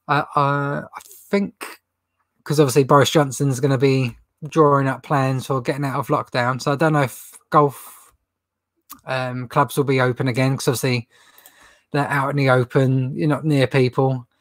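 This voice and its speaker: A man's voice: mid-range voice, little pitch movement, moderate pace, fairly bright tone, dry recording. A young man with a runny nose hosting a casual livestream Q&A from a stock room, rambling chattily and laughing at his own jokes. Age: young adult. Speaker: reseller livestream host. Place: indoor stock room with shelves.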